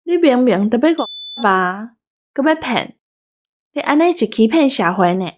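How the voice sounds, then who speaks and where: A woman's voice: fairly high pitch, expressive, measured pace. A woman with a Taiwanese accent chatting casually as a co-host, with a teasing manner. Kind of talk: casual chat.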